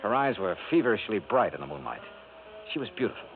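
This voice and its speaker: A man's voice: fairly low pitch, very animated, fast talker, creaky. A man with an American accent playing a dogged, wry insurance investigator in a radio noir drama, with clipped, dry delivery. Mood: wry, brooding. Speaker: lead detective and narrator. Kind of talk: 1950s radio noir acting. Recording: tinny old radio broadcast, music behind.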